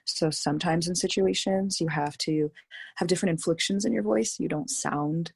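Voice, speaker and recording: Low, husky young woman in chat. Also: normal speed. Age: young adult. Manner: thoughtful, earnest commentary. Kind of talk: casual chat.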